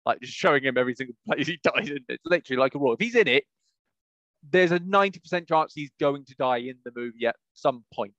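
A man's voice: medium pitch, expressive, fast talker, fairly bright tone, no echo, dull audio. A man chatting casually as a podcast co-host, rambling and jumping between thoughts. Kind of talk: casual chat about video game movies.

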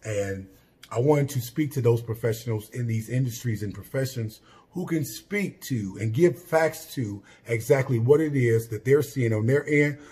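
Earnest, emphatic man with a loud voice. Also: medium pitch, moderate pace. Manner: earnest, emphatic, repeats and restarts phrases. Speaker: podcast host. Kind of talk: solo podcast monologue.

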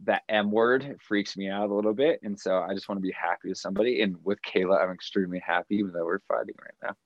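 A man's voice: fairly low pitch, fairly even intonation, quick pace, no room sound, muffled recording. An American man of thirty chatting casually and candidly in a laid-back way, as a guest on a podcast. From Colorado, United States. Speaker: yoga teacher, podcast guest. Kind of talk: casual conversation.

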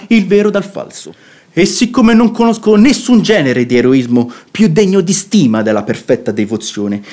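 A rather high male voice swings widely in pitch a sensible, outspoken gentleman. The delivery is emphatic and declamatory, in the manner of classical comedy on radio. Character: sensible, outspoken brother-in-law scorning false piety.

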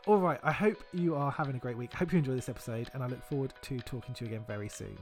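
A man's voice: mid-range voice, racing, soft background music. A man presenting a podcast introduction in a chatty, informal monologue. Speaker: podcast host.

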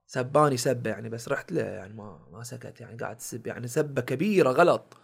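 A man's voice: medium pitch, fast talker, vocal fry, husky. A young Bahraini man with a village Bahraini accent, telling stories in a casual podcast chat and acting out the people he quotes. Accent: Bahraini village dialect. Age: young adult. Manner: animated storyteller acting out quoted exchanges. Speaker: guest, content creator and comedian.

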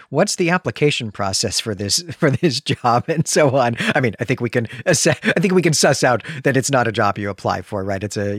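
A man's voice: fairly low pitch, fairly bright tone, dry recording, studio quality. A man hosting a podcast, explaining things conversationally to his co-host and listeners.

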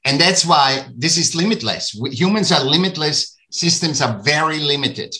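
Austrian guy on a podcast, bright forceful voice, repeats himself for emphasis, german is his first language. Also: on the high side, expressive, normal speed. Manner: emphatic, repeats phrases, non-native phrasing. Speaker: guest artist and author. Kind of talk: podcast conversation.

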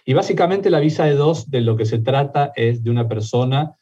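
A man's voice: mid-range voice, fairly quick, warm tone, husky, lo-fi sound. A middle-aged man with an Argentine accent, a guest who explains methodically in an interview, with frequent fillers and restarts. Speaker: immigration lawyer guest.

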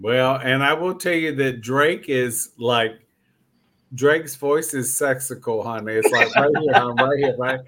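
A man's voice: mid-range voice, a little slow, a bit bright. A man hosting a casual interview podcast, effusive and playful in the way he talks.

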